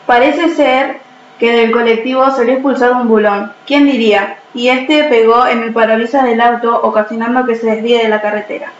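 Woman as high-voiced newsreader, echoey recording. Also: steady tone, normal speed, lightly acted. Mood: newsy. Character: female radio newsreader reporting a fatal accident. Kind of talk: amateur radio play, news bulletin. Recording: dull, echoey, faintly noisy.

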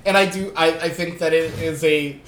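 A man's voice: a little high, steady tone, normal speed, fairly bright tone, reverberant, a little hiss or hum. A man in his late twenties chatting casually about politics, rambling with many restarts and fillers. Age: 20s.

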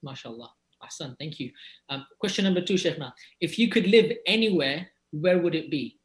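A man's voice: on the high side, animated, moderate pace. A man hosting an interview, asking a list of questions with a playful, teasing touch. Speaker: interviewer, host.

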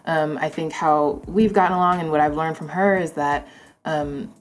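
A woman hosting a casual interview, talking informally and haltingly with many conversational asides. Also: low voice, steady tone. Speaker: interview host.

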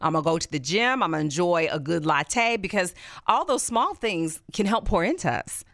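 A woman hosting an interview speaks with a lower voice that swings widely and expressively in pitch. She sounds enthusiastic and affirming toward her guest. The recording is dry and close, with almost no room sound. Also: very animated, moderate pace, a bit bright, no room sound. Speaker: interview host. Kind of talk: conversational interview.

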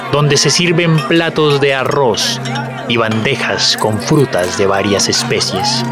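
A man reading dramatic scripted narration as the narrator of an audio drama. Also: mid-range voice. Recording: loud music bed under narration.

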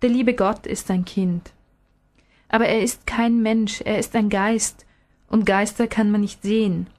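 A woman voicing a patient father who questions and explains gently in a storybook reading. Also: mid-range voice, steady tone, a little slow, close to an everyday voice. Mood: patient explaining. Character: patient, gently probing father of a small boy.

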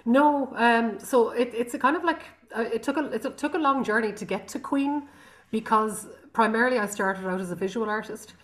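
A woman with an Irish accent, a guest artist speaking reflectively in an interview, pausing with frequent ums and restarts. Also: fairly high pitch. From Ireland.

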